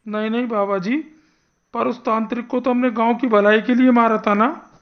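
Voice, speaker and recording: A woman's voice: fairly high pitch, fairly even intonation, normal speed, close to an everyday voice. Dull-sounding woman as an anxious village headman. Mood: fearful, pleading. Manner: earnest, imploring. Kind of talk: animated horror story acting.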